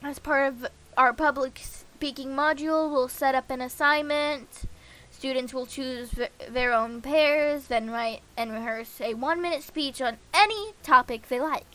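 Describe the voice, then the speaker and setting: A woman's voice: high-pitched, unhurried, pushed and bright. A woman streaming a game, reading visual novel dialogue aloud in a casual, playful way.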